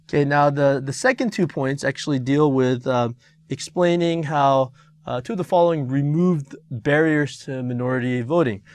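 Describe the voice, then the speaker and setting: A middle-aged man reviews an exam answer for his students. He talks in halting stretches, full of "uh" and "um" and restarted phrases. His voice sits at a middle pitch for a man. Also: darkish tone, no room sound. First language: Korean. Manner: halting, many fillers and restarts. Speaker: teacher.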